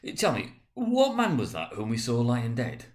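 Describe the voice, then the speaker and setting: A man's voice: mid-range voice, huge pitch swings. A man voicing a frightened, repentant old miser who stammers and pleads in a dramatic storybook reading. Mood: hesitant, questioning. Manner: stammering, halting, urgent.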